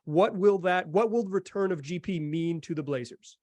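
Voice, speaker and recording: A man's voice: sits high, moderate pace, a little mellow, dry recording. An American man hosting a basketball podcast in a conversational style. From North Carolina, United States. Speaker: sports podcast host.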